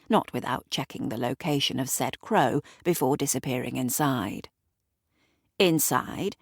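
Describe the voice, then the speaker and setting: A woman narrates an audio drama in a low voice, taking her time over each phrase. The sound is dry and close. She tells it as if confiding in the listener. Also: no echo. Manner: conversational asides to the listener, acting out characters. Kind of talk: dramatic present-tense storytelling.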